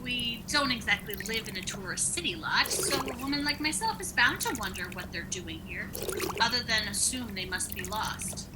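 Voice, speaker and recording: Clearly noisy home audio with a woman playing a middle-aged wife in a radio play. She sounds fairly high, blunt and wryly suspicious. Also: lively intonation, normal speed, close to an everyday voice. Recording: noisy home video-call recording.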